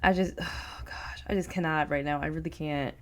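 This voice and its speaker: A woman hosting a casual reaction video, expressive and slangy, full of exclamations. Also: deep voice, normal speed.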